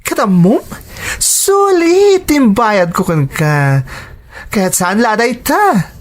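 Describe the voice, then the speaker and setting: An actress with an Ilocano Filipino accent playing a gushing, generous customer in a radio drama. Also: female voice, a little high, big pitch swings, takes it slowly. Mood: delighted.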